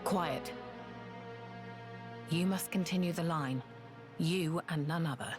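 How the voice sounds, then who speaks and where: An actress playing a proud, guilt-burdened former assassin in a video game, with a curt, formal way of talking. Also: female voice, low voice, slow pace, over music. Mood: firm, guarded. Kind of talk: video game cutscene acting.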